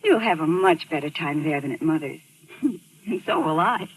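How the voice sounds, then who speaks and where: An actress playing an evasive, outwardly sweet wife with a hesitant, placating manner in a 1940s radio mystery. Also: female voice, low voice, animated, normal speed. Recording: noisy old radio recording.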